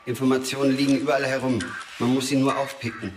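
Actor as impassive data-reciting informant, echoing and distant. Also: male voice, mid-range voice, level tone, quick pace, close to an everyday voice. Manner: clipped, impassive, database-like recitation. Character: Quick, odd male informant reciting data like a terminal. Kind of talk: German radio crime drama acting. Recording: roomy, distant, noisy with clicks.

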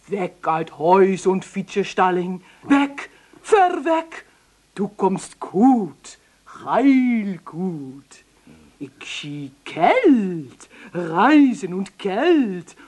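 An actress playing a phony mystical fortune-teller, speaking in dramatic broken phrases for a comic radio play. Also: female voice, mid-range voice, very animated, a little mellow, full character voice. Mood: solemn, portentous. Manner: slow, dramatic, broken sentences with repetition. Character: phony mystical fortune-teller Madame Veritas, theatrical and gloomy.

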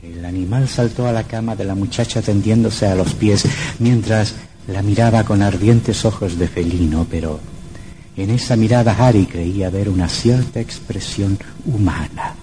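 A man acting a sinister storyteller from an old radio horror play. His low voice narrates in an ominous, theatrical and literary manner, over a clearly noisy vintage recording. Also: normal speed, mellow, close to an everyday voice.

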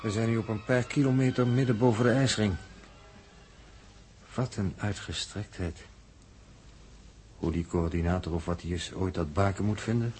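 An actor playing an awed, observant spaceship crewman in a 1960s radio drama, speaking in a measured, wondering way. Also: on the low side, fairly even intonation, darkish tone, faint music behind, natural voice. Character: awed, observant male spaceship crew member. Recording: old radio recording with faint noise.

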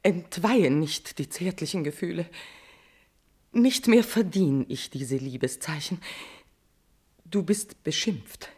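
An actress playing a guilt-ridden queen in a classical tragedy, declaiming verse with a husky voice, impassioned and swinging between hush and outcry. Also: on the low side, animated, slow pace, pauses often, exaggerated voice. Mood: ashamed anguish. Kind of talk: declamatory classical German stage verse acting.

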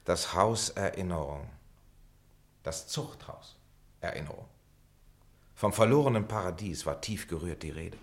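A disillusioned middle-aged man in a radio play. The voice sits very low. He is dry and brooding, with bitter sarcasm and a weariness of himself. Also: unhurried, long pauses. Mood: bitter, sarcastic. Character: bitter, sardonic middle-aged former pupil, weary of himself. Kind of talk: German literary radio play acting.

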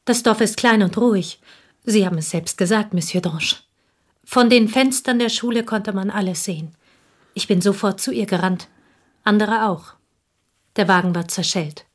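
Actress as a reserved village schoolteacher, mid-pitched. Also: female voice, lightly acted. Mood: tense recounting. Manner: restrained, measured, factual, polite. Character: reserved village schoolteacher, loyal confidante of the dead wife. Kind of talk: German radio drama acting.

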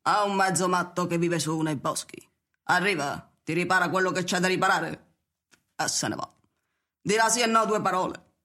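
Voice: mid-range voice, moderate pace, bright, forceful tone, natural voice. An actor playing a plainspoken, welcoming hill farmer woman in an Italian radio drama, chatty and rustic. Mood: gossipy. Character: Mrs Baxter, folksy pipe-smoking hill farmer woman, plainspoken and welcoming.

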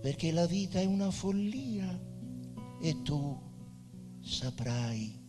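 A man voicing an eerie, prophetic, mad old grandmother in a stage play, with drawn-out, incantatory speech. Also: fairly high pitch, lively intonation, pitched above the performer's own voice. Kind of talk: stage drama acting. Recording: hall reverb with guitar music behind.

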